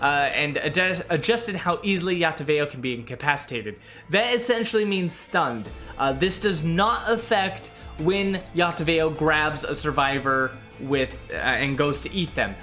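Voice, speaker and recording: A young man covering a game update in a casual way, hoarse and out of breath, with frequent filler sounds and restarts. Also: fairly high pitch, animated, moderate pace, hardly any pauses, pushed and bright, faint music behind. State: losing his voice, out of breath. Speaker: gaming video host.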